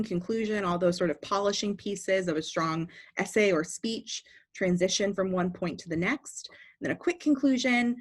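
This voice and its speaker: A woman with a fairly high voice explains, step by step, how to judge student speeches. She lays out points in order and often poses a question before answering it herself. Her pitch sits in the middle for a woman. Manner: clear, methodical, asks and answers her own questions. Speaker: debate judge trainer. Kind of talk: instructional explanation.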